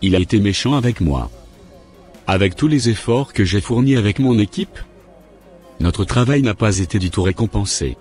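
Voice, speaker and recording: A man's voice: lowish voice, lively intonation, moderate pace, a little mellow, faint music behind, natural voice. A man playing a resentful, grumbling father and engineer in a cartoon, with a stiff scripted delivery. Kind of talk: animated cartoon dialogue.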